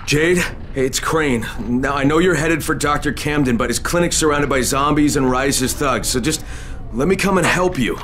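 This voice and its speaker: A man's voice: on the high side, normal speed, fairly bright tone, natural voice. An actor playing a determined male agent in a zombie-filled city in a video game. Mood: pleading. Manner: urgent, earnest. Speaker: protagonist. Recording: game dialogue with clear background noise.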